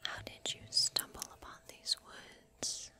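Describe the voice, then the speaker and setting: A young woman doing whispered ASMR fantasy roleplay as a kind forest witch, soft, breathy and deliberate, close to the microphone. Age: young adult.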